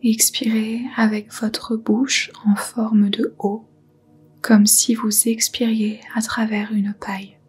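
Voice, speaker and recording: Slow whispering woman guiding meditation. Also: fairly high pitch, level tone. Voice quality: breathy, whispery. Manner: soothing, unhurried, gentle. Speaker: yoga nidra guide. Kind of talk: guided meditation, soft whispered narration.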